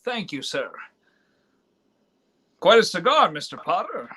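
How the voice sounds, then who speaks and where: A man's voice: sits high, very animated, unhurried, pushed and bright, full character voice. A man acting as an earnest, hesitant young small-town man in a radio play. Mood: polite, wary.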